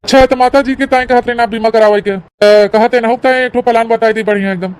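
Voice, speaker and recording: A high-voiced cartoon insurance agent , a man acted by a woman, speaks with an Awadhi accent. He explains patiently and persuasively, in the homely, colloquial patter of a village salesman. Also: steady tone, quick pace, a little mellow, clear, resonant tone, lightly acted. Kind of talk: Awadhi family comedy cartoon.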